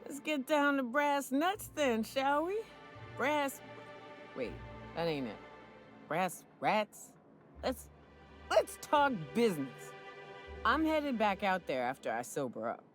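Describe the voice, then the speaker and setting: Hungover frontier hunter, swearing and folksy, swinging lively pitch, faint music. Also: female voice, slow, pauses often, heavily acted. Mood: wheeling, dealing. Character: rough, hard-drinking frontier woman hunter guide. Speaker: hunting guide. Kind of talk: video game voice acting. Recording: game audio with faint music.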